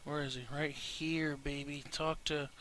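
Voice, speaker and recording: A man's voice: on the high side, little pitch movement, a little slow, pushed and bright, a little hiss or hum. A man giving casual gameplay commentary in a rambling, informal way, as a video creator talking to viewers.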